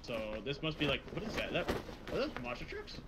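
A man's voice: fairly high pitch, wildly swooping pitch, a little fast, creaky. A man chatting casually in an improvised gaming roleplay, slangy and joking, with some playful grumbling. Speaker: gaming video creator playing a truck roleplay. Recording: dull lo-fi mic, faint noise.

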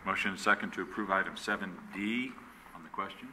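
Male mayor chairing a meeting, procedural, low and very bright tone. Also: a little hiss or hum. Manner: brisk procedural, reads agenda items.

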